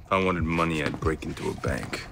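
An actor playing a gruff, commanding veteran spy in a video game, with a terse, dry delivery. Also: male voice, very deep voice, steady tone, fairly quick, noisy background. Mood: curt, commanding. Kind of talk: dramatic video game acting.

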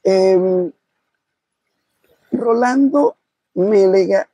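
A male interviewer with a high, mellow voice asks probing questions and drops in short acknowledgments. His voice is a little husky and sounds dry and close. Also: drawn-out words, no echo. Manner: probing, with frequent short backchannels. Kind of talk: conversational interview.

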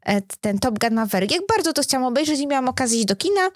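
A woman's voice: high-pitched, lively intonation, fast talker, no room sound, studio quality. A woman in her thirties co-hosting a podcast, chatting casually and colloquially, often interrupting herself as she goes. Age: 30s. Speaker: podcast co-host. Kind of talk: casual unscripted chat about series and films.

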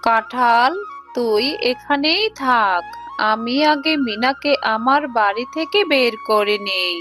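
A scheming cartoon tigress, acted out by a woman, high in pitch and slow of speech. She is a greedy neighbour who measures out her words over a music bed. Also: fairly bright tone. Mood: sly. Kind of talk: children's cartoon voice acting. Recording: music behind the voice.

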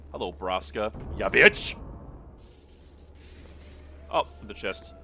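A man's voice: lowish voice, expressive, takes it slowly, gravelly, bright, forceful tone, a little hiss or hum. A man hosting a gaming video with casual, joking, sweary commentary and an irreverent streak. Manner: irreverent, sweary, riffing on the characters.

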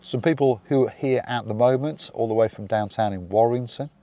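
A middle-aged man hosting a vlog in casual chat, giving cheerful shout-outs and calling viewers by playful mock noble titles. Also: mid-range voice, a little fast. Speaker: vlog host.

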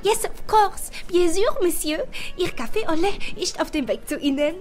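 A woman's voice: extremely high pitch, animated, moderate pace, soft background music, pitched above the performer's own voice. A woman voicing a shy, smitten young French waitress, flustered and eager to please, in an audiobook.

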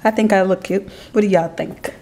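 A woman in her thirties with a faint Jamaican accent, talking casually to her camera in a slow, relaxed, chatty way. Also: medium pitch, echoey room. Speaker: vlogger. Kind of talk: casual vlog chat. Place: living room indoors, then a parked car.

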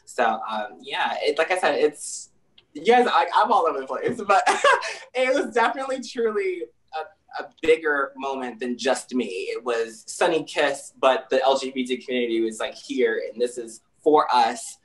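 A person in their twenties, a wrestler and dancer from New Jersey, talking animatedly and laughing over a video call. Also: male voice, medium pitch, moderate pace, rough recording. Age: 20s. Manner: animated, laughing, acts out quoted voices. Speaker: guest, professional wrestler and dancer. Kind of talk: casual interview on a video call.

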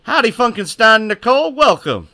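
A man streaming live gameplay, talking loud and excitably, with a booming voice, cursing at the game and answering chat. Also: fairly quick. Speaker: gaming streamer.

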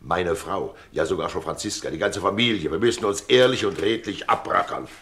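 An actor playing a wry, theatrical innkeeper and former stage player in a radio drama, with a mock-courtly manner. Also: male voice, low-pitched, fairly quick, fairly bright tone. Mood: wry complaining. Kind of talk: 1960s German radio drama acting.

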